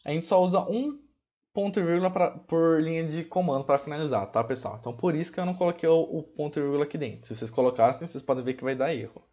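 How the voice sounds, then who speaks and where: A man with a Brazilian Portuguese accent teaching programming in a casual screencast. He checks in with his viewers often and slows down to spell out commands as he types them. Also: a little high, warm tone. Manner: chatty, checks in often with "ok?" and "pessoal", fond of diminutives; spells out commands slowly while typing. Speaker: programming instructor. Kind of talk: casual screencast coding tutorial.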